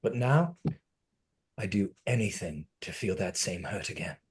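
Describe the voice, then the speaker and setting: A man acting a melancholy, dry-witted man who has been dead over a century, in a deliberate, brooding table-read delivery. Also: sits low, lively intonation, husky, heavily acted. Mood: earnest, intense.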